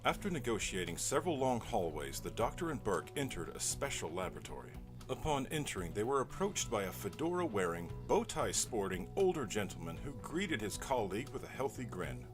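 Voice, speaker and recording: A man's voice: fairly low pitch, big pitch swings, brisk. A man delivering dramatic scripted narration in a theatrical, mock-serious way. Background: music.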